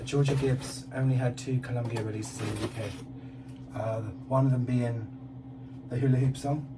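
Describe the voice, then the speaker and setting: A man who collects records, presenting an unboxing in a casual, halting way with pauses between phrases. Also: medium pitch. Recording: distant mic with clear background noise.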